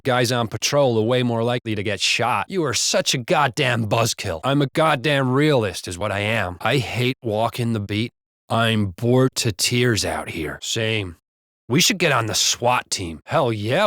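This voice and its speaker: A man voicing a gruff American patrol cop for a video game. He is blunt and foul-mouthed, talking like a street officer, recorded very dry. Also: medium pitch, fairly bright tone, no echo, natural voice. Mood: casual banter. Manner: blunt, profane, colloquial. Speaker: police officer character.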